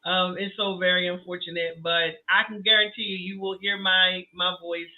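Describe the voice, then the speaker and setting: A woman's voice: lowish voice, flat delivery, normal speed. A woman guest chatting loosely on a podcast, her voice getting scratchy. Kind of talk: casual podcast chat. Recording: tinny phone-like line.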